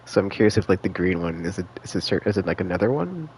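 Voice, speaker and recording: A low-pitched man with a creaky, fried edge streams a game. He talks casually and loosely, circling back as he thinks aloud, with faint noise behind him. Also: very animated, fast talker, a little mellow. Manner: rambling, hesitant, slangy, thinking aloud. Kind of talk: casual live stream commentary.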